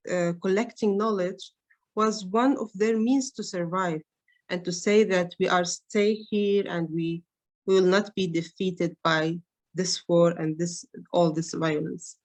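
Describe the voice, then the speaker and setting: A woman's voice: mid-range voice, takes it slowly, darkish tone. Hesitant woman. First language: Arabic. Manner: hesitant, many fillers and restarts. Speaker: researcher on a panel. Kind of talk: conference roundtable remarks.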